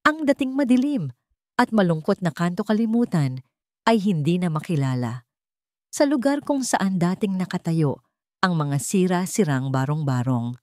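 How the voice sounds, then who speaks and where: A woman narrates a sentimental tale in a low, soft-edged voice. Her delivery is measured and earnest, like a storyteller reading from a script, and the sound is very dry and close. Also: expressive, dry recording.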